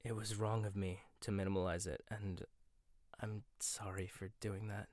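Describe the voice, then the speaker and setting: A young man voicing a proud, teasing male chef in a boyfriend roleplay, talking softly and stammering when flustered. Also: fairly low pitch, level tone, normal speed, no echo, lightly acted. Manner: soft-spoken, teasing, gets flustered and stammers. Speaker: ASMR roleplay voice actor. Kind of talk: boyfriend ASMR roleplay, one-sided dialogue.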